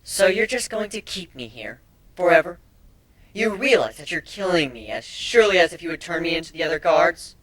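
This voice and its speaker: A man's voice: a little high, animated, fairly quick, fairly bright tone, pitched above the performer's own voice, rough recording. Man putting on a sardonic, swooping captive changeling girl. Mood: angry, pleading. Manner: sardonic, biting, exasperated. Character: sarcastic, defiant captive female changeling pony creature.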